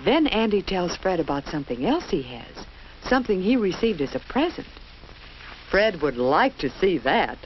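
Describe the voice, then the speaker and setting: A woman's voice: fairly low pitch, sing-song, moderate pace. A woman narrating a children's educational film in a scripted storytelling style, with simple instructive phrasing and questions put to young viewers. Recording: dull lo-fi old film soundtrack, faint hiss.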